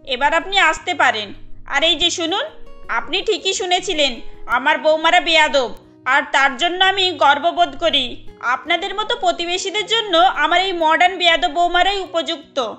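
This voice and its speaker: A woman's voice: extremely high pitch, normal speed, soft background music. A woman voicing a stern, old-fashioned, nagging middle-aged mother-in-law in a family cartoon. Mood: firm, proud. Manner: sharp, nagging, indignant questioning. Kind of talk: cartoon voice acting.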